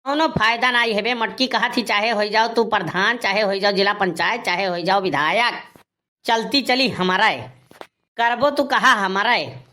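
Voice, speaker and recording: A man's voice: high-pitched, moderate pace, sharp tone. A man playing an aggrieved, boastful village husband in a cartoon comedy, grumbling and scolding with a rustic eastern Uttar Pradesh accent. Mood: irritated. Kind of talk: Awadhi cartoon comedy dialogue.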